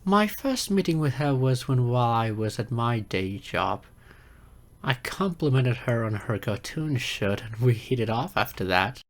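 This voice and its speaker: A man's voice: mid-range voice, expressive, a little slow. A man playing an insecure, geeky adult silver fox in an audio roleplay, hesitant, stammering and self-deprecating. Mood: flustered, nervous. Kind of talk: ASMR-style audio roleplay acting.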